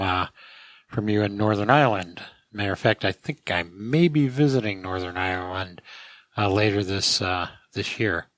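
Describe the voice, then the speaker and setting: A man with a fairly low voice hosts as the resident expert. He gives technical advice in a conversational way, and the recording is very dry, with no audible room. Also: fairly bright tone, dry recording. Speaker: podcast host and expert answering questions.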